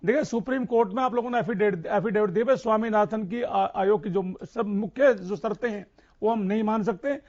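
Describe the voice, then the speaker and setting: A man's voice: sits high, a little fast, darkish tone, muffled recording. A man speaking as a farmer leader in an impassioned political debate, insistent and repeating phrases for emphasis.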